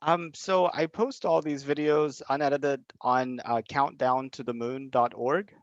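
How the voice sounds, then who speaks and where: A man's voice: mid-range voice, fairly even intonation, measured pace, no room sound. A man from Southeast Texas talking casually and unscripted, explaining things in a hesitant, rambling way as an interviewer. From Southeast Texas, USA. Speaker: interviewer running a video project. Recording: dull lo-fi call audio.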